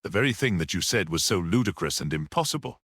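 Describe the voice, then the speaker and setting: Storybook priest-detective, read by a man: mild, perceptive, unruffled, low-pitched with lively movement, dry close sound. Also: slightly rough, dry recording, heavily acted. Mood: calm, assured. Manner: grave, measured, unruffled, almost dreamy.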